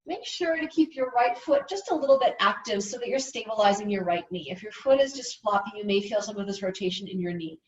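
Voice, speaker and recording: Female yoga teacher, soothing and gently instructive, recorded from a distance with slightly muffled sound. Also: medium pitch, expressive, brisk, few pauses, echoey room.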